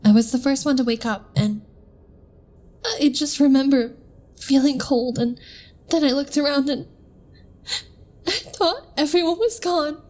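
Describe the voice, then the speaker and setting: Actress as a high-voiced, earnest spacewoman. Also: measured pace, pauses often, dark tone. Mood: shaken. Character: shaken but caring female spacecraft crew member. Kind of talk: audio drama acting.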